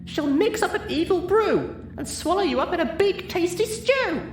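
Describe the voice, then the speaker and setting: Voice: very high voice, expressive, moderate pace, far from the mic, pitched above the performer's own voice. A storyteller voicing a theatrical pink cartoon pony mare with an exaggerated, sing-song manner. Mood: venomous sing-song. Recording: roomy echo, clearly noisy background.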